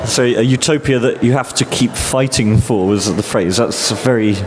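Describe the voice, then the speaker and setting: A man's voice: mid-range voice, normal speed. A man moderating a literature panel, asking long, thoughtful framing questions. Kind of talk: panel discussion. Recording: loud traffic noise behind.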